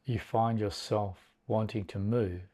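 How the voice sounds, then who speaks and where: A man leading a guided meditation as a teacher, speaking in a measured, deliberate way with long pauses between short phrases. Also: on the low side, fairly even intonation, dark tone.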